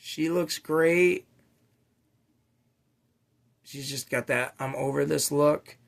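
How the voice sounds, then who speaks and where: A man with a slightly raspy voice hosting a casual, unscripted unboxing vlog for toy collectors, rambling along with slangy, enthusiastic asides. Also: on the high side, takes it slowly. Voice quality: a little raspy. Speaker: toy collecting channel host.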